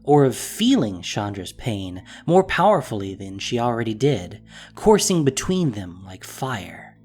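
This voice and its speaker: An animated storyteller with a mid-pitched voice and wide-moving intonation. The delivery is scripted, dramatic narration for an audio drama, with soft music behind it. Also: a little slow.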